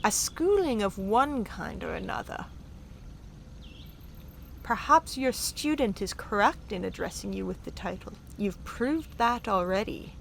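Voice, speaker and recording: Woman playing a slow, creaky-voiced, poised countess. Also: medium pitch, animated, a little hiss or hum. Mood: curious. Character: poised, curious noble countess of an alien world. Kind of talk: sci-fi audio drama acting.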